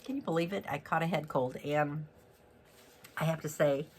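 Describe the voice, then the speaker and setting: A man with a head cold, sounding raspy and clogged up, chatting upbeat through a card-making demonstration as host. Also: on the high side, normal speed, a little hiss or hum. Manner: chatty and upbeat, with many fillers and self-corrections. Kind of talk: casual card-making tutorial.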